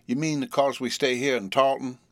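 Guy putting on a laid-back young southern dude in a story, southern us accent, pushy n lovesick, pitched up a bit. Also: flat delivery, a little fast, a bit bright, no room sound, clean studio sound, lightly acted. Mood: hurt. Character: easygoing young Southern man, persistent, lovelorn.

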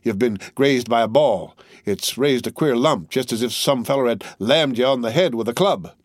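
A man voicing a gruff army corporal who talks bluntly in a folksy country dialect. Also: medium pitch, moderate pace, no echo, studio quality, close to an everyday voice. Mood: matter-of-fact.

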